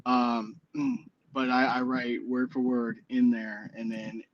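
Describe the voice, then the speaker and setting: A man's voice: medium pitch, takes it slowly, muffled recording, a little hiss or hum. Rambling hesitant man in his forties. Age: 40s. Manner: rambling, hesitant with many fillers and restarts. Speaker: guest musician. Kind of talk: casual interview chat.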